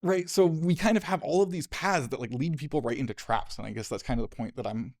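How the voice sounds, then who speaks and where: A man's voice: fairly high pitch, no room sound. Man in his thirties fielding audience questions at a podium; easygoing, laughs easily, dry close sound. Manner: casual, laughs often, quick asides. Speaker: conference speaker. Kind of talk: Q&A after a tech talk. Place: lecture room, at a podium. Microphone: podium mic, dry.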